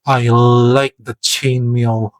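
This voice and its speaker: A man's voice: mid-range voice, little pitch movement, very slow, dry recording. Man reviewing an action figure. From Philippines. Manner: hesitant, searching for words, careful. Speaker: toy reviewer. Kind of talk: product review voice-over.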